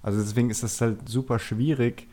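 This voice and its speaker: A man's voice: medium pitch, fairly even intonation, brisk, dark tone. A man speaking as a podcast guest, chatting casually and candidly about himself in a self-critical way. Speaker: podcast guest, video creator. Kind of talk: casual chat, personal anecdotes.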